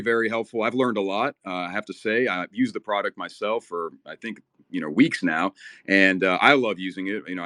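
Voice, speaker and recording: A man's voice: lowish voice, a bit bright, no echo, clean studio sound. A man born in Ukraine, a native Russian speaker, hosting a casual live audio chat in a rambling, filler-heavy, upbeat way, a little nervous. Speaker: host of a crypto talk space.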